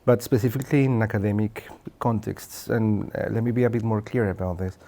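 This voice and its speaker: Male interviewer, deep and mellow, deliberate phrasing. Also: steady tone. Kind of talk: academic question.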